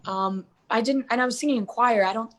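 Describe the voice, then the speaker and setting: A young woman in her twenties, a guest singer in a relaxed interview. She talks in an easy, chatty way, full of "like" and "um", and often stops and starts her sentences over. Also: a little high, brisk. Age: 20s. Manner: chatty, many fillers and restarts. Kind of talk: casual interview chat.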